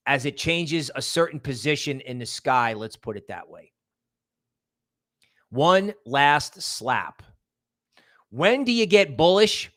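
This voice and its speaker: A man's voice: on the high side, pushed and bright. A man hosting a livestream in a casual, rambling, emphatic way, repeating words for stress. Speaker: livestream host. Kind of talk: casual livestream chat with viewer shout-outs and promotion.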